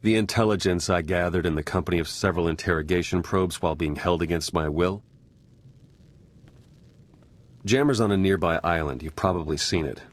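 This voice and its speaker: Game spy male actor: very low voice, dry, sardonic, battered but dependable, natural delivery. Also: fast, hardly any pauses, lightly acted. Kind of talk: natural video game dialogue acting.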